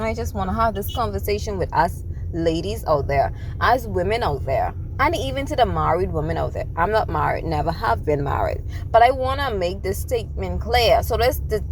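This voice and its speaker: A woman's voice: mid-range voice, low-quality recording. A young woman with a Bahamian accent giving emphatic, repetitive relationship advice in a casual monologue while on the road. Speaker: vlogger. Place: in a vehicle on the road. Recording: loud road noise behind.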